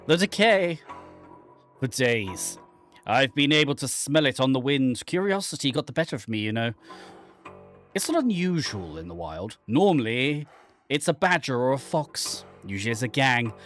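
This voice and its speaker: A man voicing an anguished, brooding man who fled into the wilderness, read dramatically from a horror visual novel. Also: mid-range voice. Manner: dramatic, literary, deliberate.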